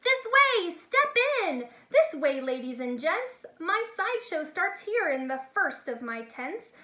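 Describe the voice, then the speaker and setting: An eager storybook boy acted out by a woman barks his circus attractions like a proud showman. The delivery is bright, singsong and unhurried, in an echoey room. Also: extremely high pitch, sing-song, pitched above the performer's own voice, full character voice. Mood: excited, showy. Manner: grandiose showman's barking, singsong rhymes. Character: young boy dreamer, boastful eager circus ringmaster. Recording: echoey, reverberant room sound.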